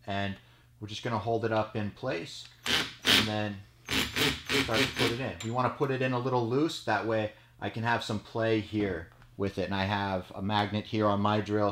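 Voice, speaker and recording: A man's voice: fairly low pitch, fairly even intonation, moderate pace. A man in his thirties, a judo coach giving a casual step-by-step how-to demonstration, checking in with "okay?" as he goes. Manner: casual, explanatory, frequent "okay?" check-ins. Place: small storage room with mats, indoors. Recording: echoey small room, faint noise.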